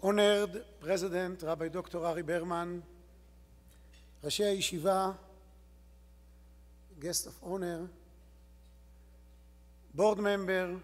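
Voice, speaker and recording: A man with an Israeli accent, a native Hebrew speaker, gives a formal acceptance speech in slow, halting English, pressing hard on single words. His voice is fairly high. The long reverb of a large banquet hall surrounds him. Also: steady tone. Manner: slow, emphatic, halting English with bursts of loud emphasis. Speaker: rabbi receiving an award. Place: large hotel ballroom.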